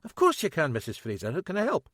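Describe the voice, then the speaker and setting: A man playing a chirpy Scottish constable, raised bright voice, lively and obliging. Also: a little high, quick pace, no echo, studio quality, pitched above the performer's own voice, heavily acted. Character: eager young male Scottish constable, cheerful.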